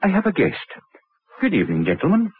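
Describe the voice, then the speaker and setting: An actor in an old radio drama playing a middle-aged, pompous, evasive anatomy professor, fussy and hesitant, then imperious. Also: male voice, high voice, wildly swooping pitch, quick pace, slight background noise. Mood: furtive, shrewd. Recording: tinny, band-limited vintage radio recording.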